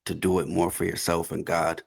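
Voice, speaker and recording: A man in his thirties hosting a podcast, asking questions in a relaxed, informal way. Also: deep voice, fairly quick, creaky, breathy edge. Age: 30s. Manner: relaxed, informal speech, some "um"s. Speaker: podcast host. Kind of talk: casual interview chat.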